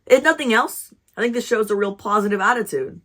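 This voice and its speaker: A woman's voice: fairly high pitch, brisk, fairly bright tone. A woman voicing an eager, earnest, cheerful male moose in a storybook, politely formal as he applies for a job. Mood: sheepish, hopeful. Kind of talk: storybook read-aloud.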